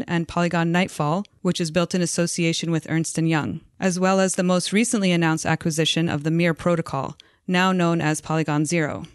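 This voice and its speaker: A woman, a podcast producer, reading a sponsor message in a steady, even way. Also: fairly low pitch, no room sound, studio quality.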